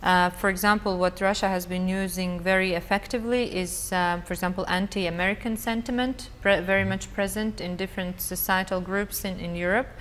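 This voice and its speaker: A woman's voice: mid-range voice, fairly even intonation, brisk, few pauses, a bit bright. Woman speaking in a reverberant hall. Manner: thoughtful, hesitant with frequent fillers. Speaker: conference panelist. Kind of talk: panel discussion. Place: conference hall. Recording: reverberant hall with faint noise.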